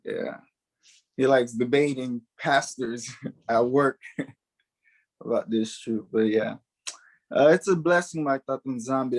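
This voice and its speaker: A man's voice: mid-range voice, poor audio. A man in his early twenties, born in Haiti and raised in the United States, giving a personal spiritual testimony in an earnest way, repeating key words for emphasis.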